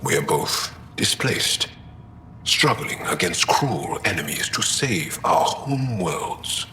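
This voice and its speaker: A man playing an earnest, thoughtful alien fighter with a wistful streak in video game dialogue, speaking deliberately. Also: low voice, big pitch swings, sharp tone, roomy sound, a little hiss or hum, full character voice.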